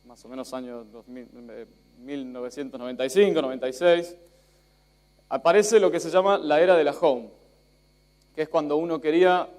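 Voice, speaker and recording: A man's voice: medium pitch, darkish tone. A young Spanish-born man speaking with an Argentine accent, giving a chatty conference talk with fillers and self-jokes. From Spain. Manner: chatty, self-joking, many fillers and restarts.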